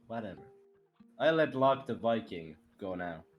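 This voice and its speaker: A man's voice: on the low side, expressive, normal speed, muffled recording, faint music behind. A man making casual interjections as a participant in a group livestream chat.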